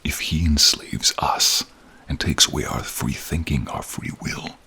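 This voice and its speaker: A solemn, caring dragon, speaks in a cavernously low register full of rough rumble. He is slow and deliberate, addressing the listener formally in a fantasy audio roleplay. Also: bright, forceful tone, full character voice. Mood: grave, troubled. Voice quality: very deep, gravelly, husky, breathy. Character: old wise protective male dragon, grave and caring. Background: rain and cave ambience.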